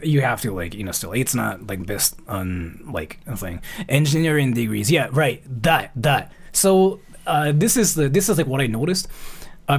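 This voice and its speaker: Guy streaming, medium pitch voice, rambly casual commentary, keeps repeating himself. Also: vocal fry, slightly rough. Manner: rambling, repeats phrases, halting. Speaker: live streamer reacting to a video. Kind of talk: casual livestream chat and commentary.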